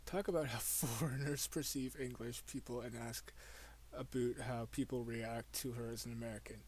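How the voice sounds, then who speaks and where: A man's voice: mid-range voice, fairly even intonation, normal speed, a little mellow, slight background noise. A man casually commenting on a video game as a streamer, mocking and joking. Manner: mocking, laughing asides. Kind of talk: casual let's play commentary.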